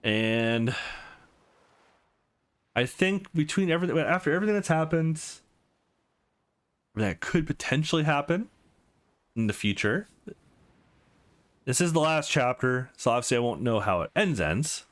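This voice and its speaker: A man's voice: fairly high pitch, animated, unhurried, long pauses. A man reading a visual novel aloud for a let's play, adding casual asides and correcting his own stumbles.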